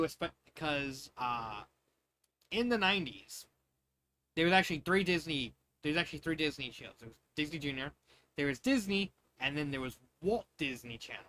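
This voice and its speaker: A man in his thirties or forties from the United States reading fan fiction with casual, halting, rambling tangents where he repeats phrases. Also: fairly high pitch, sharp tone, a little hiss or hum.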